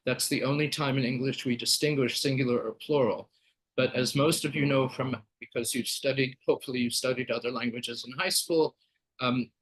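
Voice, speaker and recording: A man's voice: mid-range voice, a bit bright, low-quality recording. Man presenting a webinar, explanatory delivery with fillers and self-corrections. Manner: explanatory, many fillers and restarts. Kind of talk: live webinar talk.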